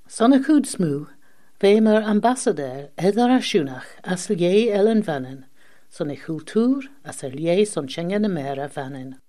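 Mellow, lower-voiced woman presenter. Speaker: radio presenter. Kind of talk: scripted magazine narration.

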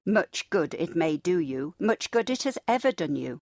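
A woman's voice: lowish voice, big pitch swings, moderate pace, darkish tone, no echo, clean studio sound. A woman playing a grumpy, miserly old man, stern and indignant, in a storybook reading. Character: grumpy, miserly old businessman, scornful of Christmas.

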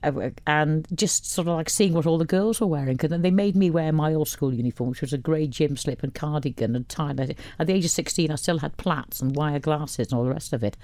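Chatty woman with a mellow voice. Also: low-pitched, fairly quick, few pauses, dry recording. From Wales. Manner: chatty, rambling with many restarts. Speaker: radio guest. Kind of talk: casual interview chat.

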